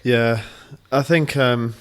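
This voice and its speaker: A man's voice: mid-range voice, steady tone, slow pace, smooth. A man co-hosting a casual podcast, laid-back and joking. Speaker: podcast co-host. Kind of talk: casual chat.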